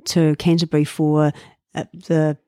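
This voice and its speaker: A woman's voice: deep voice, little pitch movement, unhurried, dry recording, clean studio sound. Reflective New Zealand woman in her fifties. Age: 50s. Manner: reflective, warm storytelling with frequent fillers and laughs. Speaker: guest. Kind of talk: casual interview chat.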